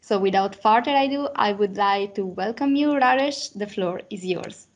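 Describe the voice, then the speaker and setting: A woman hosts a webinar over a video call in a voice of ordinary pitch. She reads a formal, polite welcome in a steady, prepared way. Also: normal speed. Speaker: webinar host. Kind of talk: scripted introduction.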